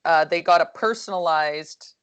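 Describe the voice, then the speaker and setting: A woman with a low, calm voice talks about coaching over a video call. Her speech is relaxed and rambling, full of fillers and self-corrections, and her tone stays soothing. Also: normal speed, clean tone, muffled recording. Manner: chatty, lots of fillers and restarts. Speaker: hockey coach. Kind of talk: casual video call discussion.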